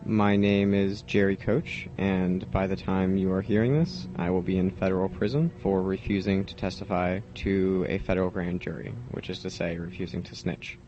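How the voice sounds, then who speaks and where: Dull lo-fi recording of a lowish-voiced man. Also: steady tone, normal speed, few pauses, darkish tone. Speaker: activist giving a recorded statement. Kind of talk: prepared personal statement.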